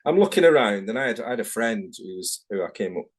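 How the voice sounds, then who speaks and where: A man's voice: fairly low pitch, expressive, fast. A middle-aged man with a Manchester accent, talking frankly in a casual interview over a video call and often correcting himself. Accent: Manchester English. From England, Manchester. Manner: rambling, frank, self-correcting. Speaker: tattoo artist guest.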